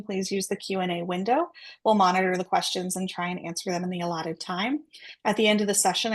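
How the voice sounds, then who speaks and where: Woman facilitating a webinar, measured. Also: medium pitch. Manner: measured, formal announcer delivery. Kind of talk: scripted introduction and prayer.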